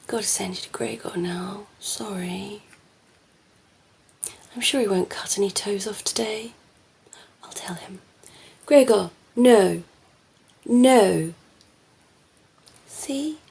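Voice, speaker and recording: Woman acting a slow, creaky-voiced flirty vampire. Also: medium pitch, a little mellow, slight background noise. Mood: reassuring. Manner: teasing, slow, often dropping into whispers. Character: cheeky flirtatious female vampire, playful, predatory, teasing humans. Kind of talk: ASMR roleplay, close and soft-spoken.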